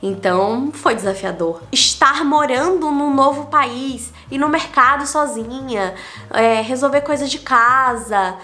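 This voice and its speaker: High-voiced Brazilian woman vlogging. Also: measured pace, sharp tone. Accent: Brazilian Portuguese. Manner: candid, counts off numbered lessons. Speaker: digital nomad vlogger. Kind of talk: casual reflective vlog monologue.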